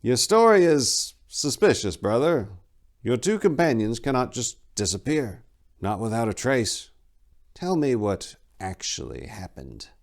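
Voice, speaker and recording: A man voicing an ancient, wizened male abbot who speaks in a measured, solemn, questioning way for a fantasy story. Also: mid-range voice, sing-song, pitched above the performer's own voice. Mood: grave, probing. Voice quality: wizened, aged voice.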